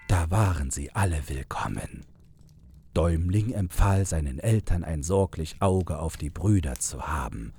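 A man narrating a fairy tale from a script in a measured, deliberate storytelling manner. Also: sits low, warm tone, a little hiss or hum.

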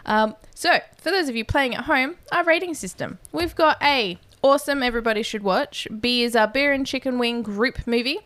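A woman's voice: sits high, normal speed, hardly any pauses, a bit bright, no echo. Chatty Australian woman, dry podcast sound. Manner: laughing, wry, chatty. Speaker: podcast co-host. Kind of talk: casual banter.

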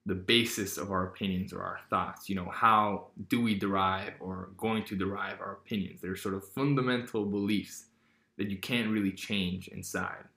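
A man's voice: sits low, fairly even intonation, normal speed, gravelly, pushed and bright, echoey room. A teenage boy hosting a casual podcast, talking in a rambling way with lots of fillers and restarts. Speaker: podcast host.

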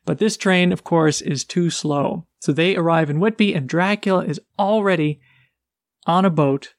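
Podcast co-host, male, higher voice, lively plot recap, dry mic. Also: normal speed, no echo. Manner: animated retelling of a plot. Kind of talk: casual book discussion.

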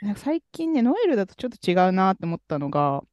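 A man co-hosting a podcast, telling a story in a relaxed, rambling way with many fillers. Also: high voice, fast talker, dry recording, clean studio sound. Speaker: podcast co-host. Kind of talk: casual chat about music.

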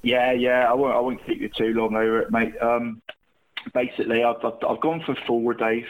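A man's voice: mid-range voice, flat delivery, moderate pace, narrow, tinny audio. A man co-hosting a casual football podcast, chatty and jokey, calling his co-host mate again and again and laughing often.

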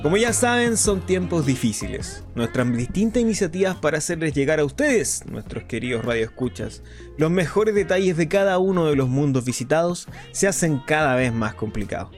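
An actor playing a flamboyant, sardonic underground radio host in a radio drama, talking in a grandiloquent, ironic, defiant way. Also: male voice, a little high, sing-song, moderate pace, soft background music. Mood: mock-grateful, sardonic. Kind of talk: theatrical radio drama monologue.

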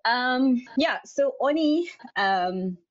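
A woman's voice: sits high, lively intonation, drawn-out words. A young woman from South Africa, a guest answering interview questions casually, rambling with many ums. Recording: dull lo-fi sound.

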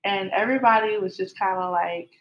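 A woman's voice: mid-range voice, a little fast, lo-fi sound. A middle-aged woman casually telling her love story, rambling with many fillers and restarts.

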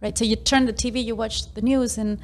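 A woman's voice: a little high, fairly even intonation, fast talker. A young adult woman from Argentina and Ecuador, chatting casually as a guest, laughing often and filling gaps with ums. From half Argentinian, half Ecuadorian. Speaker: interview guest. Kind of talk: casual chat.

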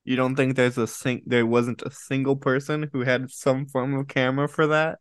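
A man's voice: medium pitch, little pitch movement, moderate pace, clean tone, dry recording, studio quality. A man hosting a casual interview, chatting in an informal way. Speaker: interviewer, host.

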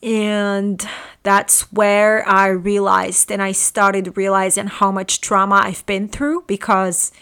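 Brief Bright-toned woman in her late twenties from Morocco, podcast host, halting and earnest delivery, recorded at home. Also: medium pitch, little pitch movement, clear, resonant tone. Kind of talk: personal storytelling podcast. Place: room at home.

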